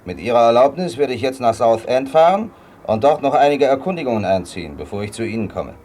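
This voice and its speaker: A man's voice: mid-range voice, expressive, quick pace, a little mellow, natural voice. Guy acting a police inspector in a radio play, businesslike, pushy questions, voice moves up and down a lot. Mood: insistent. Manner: questioning, insistent, clipped. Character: probing, businesslike male British police inspector. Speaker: actor. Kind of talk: German radio crime drama acting.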